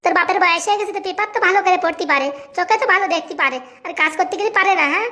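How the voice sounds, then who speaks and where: Casting: woman as quarrelsome young cartoon wife, very high voice, rapid combative scolding, rural Bengali accent. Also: pushed and bright. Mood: scolding, indignant. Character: sharp-tongued, quarrelsome young village wife. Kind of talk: comic cartoon dialogue in village dialect.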